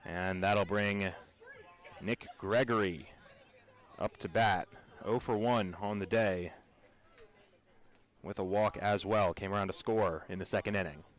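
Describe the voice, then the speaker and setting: A man with an American accent calling baseball play-by-play for radio in a measured, descriptive storytelling way. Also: lowish voice, steady tone. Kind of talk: radio sports commentary.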